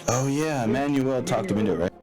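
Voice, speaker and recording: A man talking casually as game master of a tabletop game, explaining rules in a halting, think-aloud way. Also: medium pitch.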